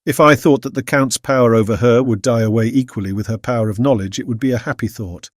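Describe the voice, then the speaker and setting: An earnest Victorian gentleman reads from his diary in a British accent voiced by a male actor. His mid-pitched voice is measured and solemn, in a close, dry audiobook recording. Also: dry recording, natural voice. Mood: solemn, anxious. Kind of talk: scripted dramatic audiobook narration.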